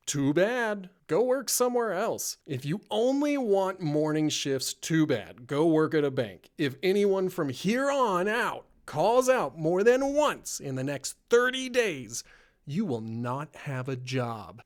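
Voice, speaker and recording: A man reading aloud a staff message as a fed-up, scolding restaurant manager, stern and self-righteous. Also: a little high. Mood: stern, threatening.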